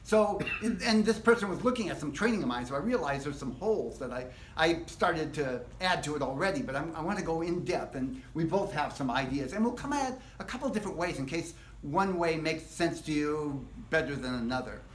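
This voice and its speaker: A man teaching a casual live guitar workshop, explaining things step by step in a relaxed, rambling way. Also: a little high, fairly quick, hardly any pauses, slight background noise. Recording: echoey room.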